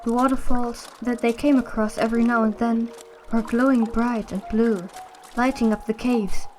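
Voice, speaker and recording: A woman's voice: fairly high pitch, fairly even intonation, normal speed, a little mellow, faint music behind, natural voice. A woman reading scripted story narration as the narrator of an audio drama.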